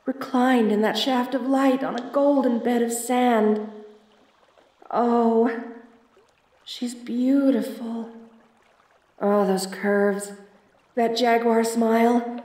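Woman acting a high-voiced theatrical femme fatale adventuress. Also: steady tone, takes it slowly, long pauses, darkish tone, echoey room, far from the mic. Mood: awed, gloating. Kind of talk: audio roleplay acting, addressed to the listener.

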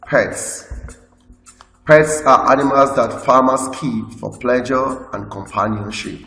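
A man with a Nigerian English accent, teaching a school lesson by slowly reading out lists from slides. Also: medium pitch, sharp tone. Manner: slow, list-like reading with explanations. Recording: echoey room with long reverb, faint music.

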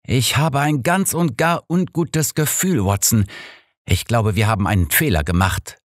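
A man's voice: medium pitch, unhurried, no room sound, studio quality, close to an everyday voice. A man voicing an old master detective in an audiobook, earnest, formal and troubled. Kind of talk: audiobook character reading.

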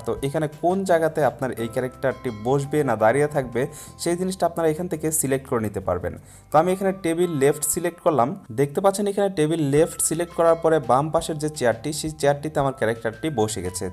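A man's voice: fairly high pitch, a little mellow. A young man hosting an explanatory tutorial in a step-by-step, instructional way. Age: young adult. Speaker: tutorial host.

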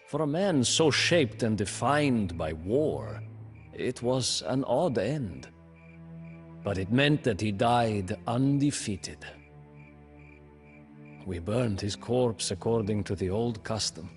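A brooding, ambitious young prince. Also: male voice, on the low side. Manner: slow, measured, brooding delivery. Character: proud, ambitious young male Lithuanian prince. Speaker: campaign narrator. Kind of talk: scripted storybook narration. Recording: faint music behind.

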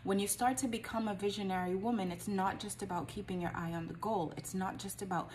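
A woman's voice: mid-range voice, steady tone, brisk, a little mellow, slight background noise. A woman in her forties, a life coach, giving an earnest, encouraging talk straight to the camera from a small home office and asking rhetorical questions. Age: 40s.

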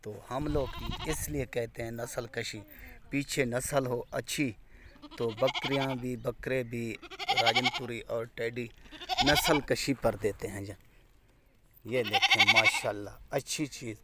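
A man selling goats in a casual farm vlog, speaking slowly and calling viewers "my dear brother". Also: steady tone, very noisy. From Pakistan. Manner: slow, warm-hearted salesman's pitch, calls viewers "my dear brother". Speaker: goat farmer selling goats. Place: outdoors on a rural brick-paved farmyard. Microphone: phone camera held by the speaker. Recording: outdoor phone audio with goats bleating.

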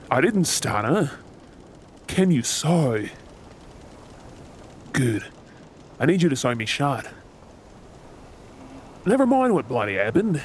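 A man playing a gruff, menacing, sardonic pirate captain in a dramatic audio role play. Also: fairly high pitch. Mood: pained, gruff. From Australia. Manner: commanding, swaggering, sardonic. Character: gruff, menacing male pirate captain, secretly soft-hearted. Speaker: ASMR role-play performer. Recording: sound effects and ambient noise behind. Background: ship ambience sound effects.

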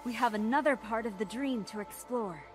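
A woman voicing a solemn, dignified mystical sheep guardian in a video game, formal and measured. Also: a little high, lightly acted. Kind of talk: animated video game cutscene acting. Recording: music under the voice.

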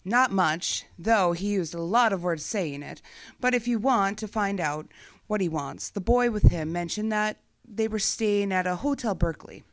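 A wry secretary played by a woman with a medium-pitched voice. She is dry, knowing and familiar, a loyal sidekick in an old-time style radio play. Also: moderate pace, dry recording, close to an everyday voice. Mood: teasing, wry.

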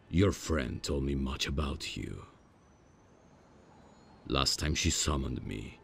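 Man playing a very deep, gravelly, awkward demon. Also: a little hiss or hum, exaggerated voice. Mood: awkward, formal. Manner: halting, formal, deadpan, with deliberate hesitations. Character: stiff, awkwardly polite male demon from hell on a date. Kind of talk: ASMR roleplay, scripted comic monologue.

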